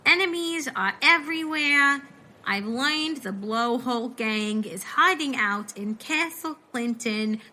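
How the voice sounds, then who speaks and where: A woman playing a chatty, blunt gal in a comic audio mystery, with deadpan asides. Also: on the high side, slow, heavily acted. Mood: reading aloud, unimpressed. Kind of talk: comic audio drama acting.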